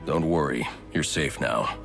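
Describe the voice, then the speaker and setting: Grim vigilante hero from a game, super low and gravelly, terse, music behind. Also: male voice, a little slow, full character voice. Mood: grim, reassuring. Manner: terse, controlled, reassuring. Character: grim, stoic adult male vigilante hero. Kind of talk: video game hero acting.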